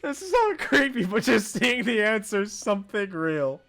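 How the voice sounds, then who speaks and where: A man livestreaming a visual novel, commenting on it in an excitable, reactive manner. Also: sits high, moderate pace, vocal fry. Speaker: game streamer. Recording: game music behind the voice. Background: visual novel music.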